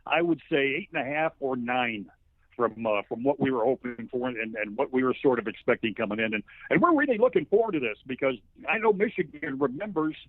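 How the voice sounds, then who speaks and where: Phone-line sound, male sports broadcaster, conversational football analysis, halting folksy storytelling, dry with no room echo. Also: medium pitch. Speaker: sports play-by-play broadcaster, interview guest. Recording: thin narrow phone-like line.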